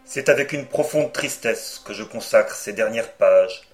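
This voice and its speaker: A man reading a first-person story aloud as an audiobook, with a solemn, deliberate delivery. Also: lowish voice, soft background music. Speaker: audiobook reader.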